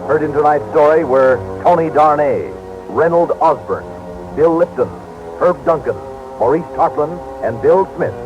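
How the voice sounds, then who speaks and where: Highish male vintage radio announcer. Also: normal speed. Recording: dull old broadcast, music behind.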